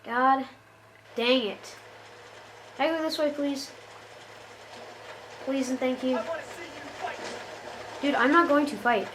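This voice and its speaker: A woman's voice: high voice, takes it slowly, long pauses, fairly bright tone, slight background noise, low-quality recording. A woman giving casual, jokey commentary while playing a shooter game, often exasperated and talking to the characters on screen. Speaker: gamer.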